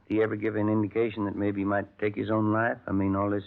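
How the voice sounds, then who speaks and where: A flat-voiced police partner. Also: male voice, on the low side, fast talker, creaky. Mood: polite questioning. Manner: plain, matter-of-fact and even. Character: plain-spoken male police sergeant. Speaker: police sergeant. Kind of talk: 1950s radio drama acting. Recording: tinny 1950s radio broadcast.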